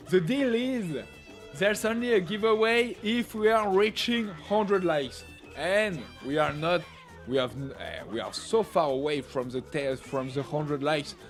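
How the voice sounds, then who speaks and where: A man hosting a live stream, chatting casually in English with a non-native accent, laughing often and filling pauses with "uh". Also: sits high. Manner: laughs often, talks with many "uh" fillers. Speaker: livestream host.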